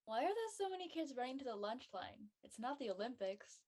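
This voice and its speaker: A woman voicing a frank, teasing teenage high school friend in a comedy drama. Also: big pitch swings, a little fast, a little mellow. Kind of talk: comedic scripted audio drama dialogue.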